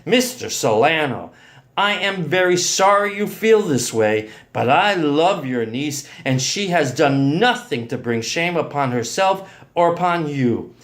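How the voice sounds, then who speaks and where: A man's voice: a little high, big pitch swings, a little slow. A man playing a devoted, protective male lover in a story, speaking in an earnest, reassuring way. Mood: firm, earnest.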